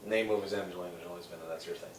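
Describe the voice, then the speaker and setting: A man's voice: sits low, steady tone, very fast. A man co-hosting a casual group debate, emphatic and sarcastic, swearing freely. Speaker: podcast host debating films. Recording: roomy, distant mic, faint noise.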